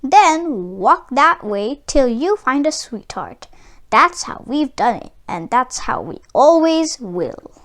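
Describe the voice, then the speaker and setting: A woman's voice: sits high, very animated, slow, a bit bright, heavily acted. Woman reading as a firm, tradition-bound farmer father.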